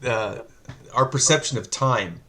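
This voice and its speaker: A man's voice: medium pitch, lively intonation, unhurried, a crowd in the background. A man hosting an interview, chatting casually with lots of hesitations and the odd joke. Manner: rambling, many fillers, joking. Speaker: interview host.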